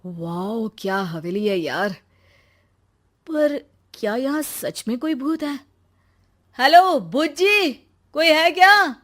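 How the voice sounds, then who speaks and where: Woman as a curious, higher-voiced young villager. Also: expressive, takes it slowly, long pauses, fairly bright tone, full character voice. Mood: curious, cheeky. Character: curious, bold young villager in a moral tale. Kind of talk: animated story dialogue.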